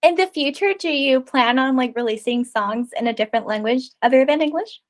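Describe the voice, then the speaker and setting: Young woman host, high voice, hesitant, lots of ums. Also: fairly quick. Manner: hesitant, many ums and giggles. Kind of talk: casual interview chat.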